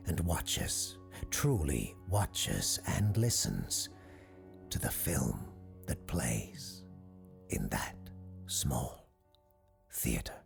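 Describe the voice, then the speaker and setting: A man reading scripted, eerie documentary-style narration in a measured, deliberate way with dramatic pauses. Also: low voice, vocal fry. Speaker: host and narrator.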